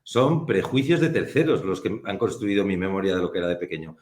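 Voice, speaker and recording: A low-pitched man talks as an interview guest, reflective and unhurried. His voice carries a noticeable room echo. He pauses often as he thinks aloud. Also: lively intonation, a little mellow. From Spain. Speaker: guest, film producer. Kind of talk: casual interview conversation.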